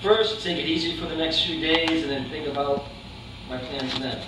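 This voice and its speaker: A man's voice: on the high side, normal speed, natural voice. A man playing a plain-spoken young boxer in an amateur staged play reading. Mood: flat, modest. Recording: distant echoey room recording, faint noise.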